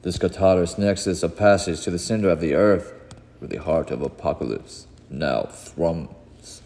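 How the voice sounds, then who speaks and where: A man narrating a comic book read-along in a dramatic, solemn, theatrical way with deliberate pauses. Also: low-pitched, darkish tone. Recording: long hall reverb.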